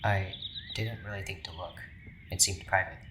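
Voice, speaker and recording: Male actor as deadpan scientist, fairly low voice, clinical, sarcastic, audio drama, noisy background. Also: normal speed, close to an everyday voice. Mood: puzzled, reserved. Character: dry, sarcastic male scientist monitoring an experiment.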